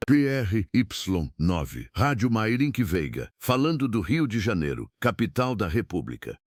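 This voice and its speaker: Man doing a low-pitched solemn old-time radio announcer. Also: sing-song, unhurried, dry recording, clean studio sound. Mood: solemn, proud. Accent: Brazilian. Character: male 1920s radio station announcer, solemn and formal.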